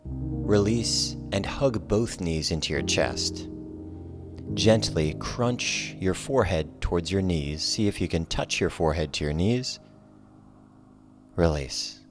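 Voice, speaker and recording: A man teaching a guided relaxation yoga class, giving calm, soothing, measured cues over soft music. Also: low voice. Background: soft guitar music.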